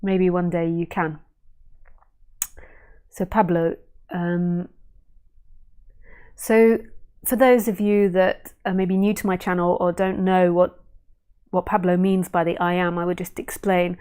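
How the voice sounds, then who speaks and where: A woman spiritual teacher in a casual live stream, talking gently and unhurriedly, musing with fillers and restarts. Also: lowish voice, steady tone, slow, pauses often, clear, resonant tone. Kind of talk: casual live stream talk answering viewer questions.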